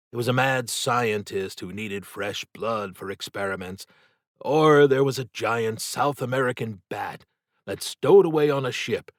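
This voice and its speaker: Slow, low-voiced male horror audiobook narrator. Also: dry recording, clean studio sound. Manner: measured, suspenseful storytelling. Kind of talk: dramatic scripted narration of a horror novel.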